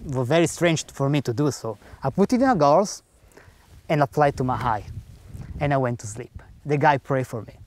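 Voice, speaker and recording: A man's voice: medium pitch, expressive, moderate pace, a little mellow, slight background noise. A man speaking English as a second language, earnestly telling a personal faith story and acting out the dialogue in simple grammar. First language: not English. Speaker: speaker giving a personal testimony.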